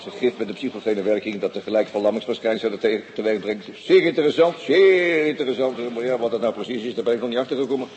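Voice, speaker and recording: Radio play police doctor, male actor: jocular, wordy, fussy about medical terms, mid-pitched voice, light noise on the recording. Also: fast talker, hardly any pauses, a little mellow, lightly acted, low-quality recording. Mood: fascinated, musing. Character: talkative, wryly pedantic male police doctor.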